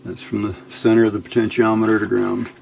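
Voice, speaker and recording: A man's voice: lowish voice, flat delivery, fast talker, vocal fry, darkish tone, a little hiss or hum. A man giving a casual hands-on electronics tutorial, unscripted and muttering to himself as he works. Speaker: electronics hobbyist, channel host. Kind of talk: casual hands-on build tutorial, thinking aloud. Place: indoor workbench.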